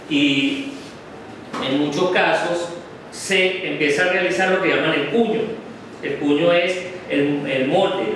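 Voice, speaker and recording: A man's voice: on the high side, fairly bright tone. A middle-aged man gives a slide presentation in a classroom, talking unhurriedly and often checking in with "ok?". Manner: unhurried, many "ok?" checks with the audience. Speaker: guest lecturer. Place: darkened classroom with projector screen. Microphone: far camera. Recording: very echoey room from far off, noisy.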